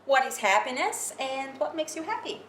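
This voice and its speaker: Higher-voiced young woman from Hungary. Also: big pitch swings, moderate pace, a bit bright. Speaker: student guest in discussion. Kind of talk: casual reflective conversation. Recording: echoey room with faint noise.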